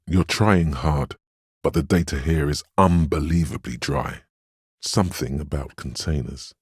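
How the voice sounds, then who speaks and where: The grave inner voice of a middle-aged man's willpower for a video game. It is extremely low and a little husky, recorded close and dry. The delivery is measured and admonishing, with a dry wit and a protective firmness. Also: no room sound, exaggerated voice. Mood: dry, cautioning. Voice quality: very deep, solemn. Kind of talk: scripted game narration in second person.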